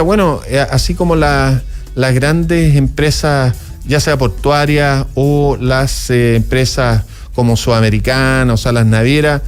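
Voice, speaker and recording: A man's voice: medium pitch, clear, resonant tone. A man hosting a casual radio interview, talking in long rambling turns with asides and restarts. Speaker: radio host.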